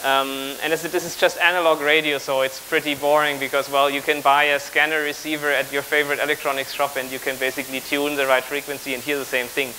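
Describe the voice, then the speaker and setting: A man in his thirties speaking haltingly as he improvises a live technical demonstration as a conference speaker. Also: fairly high pitch, flat delivery, pushed and bright, a little hiss or hum, rough recording. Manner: halting, improvising while working at a laptop. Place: large auditorium on stage with audience.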